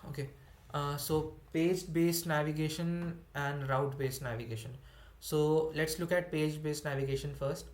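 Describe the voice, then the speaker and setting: A man's voice: on the high side, fairly even intonation, moderate pace, reverberant. A young man with an Indian English accent teaches a coding session over a video call, explaining step by step with many fillers and restarts. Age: young adult. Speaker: student instructor. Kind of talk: online coding workshop. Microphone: computer mic on a video call.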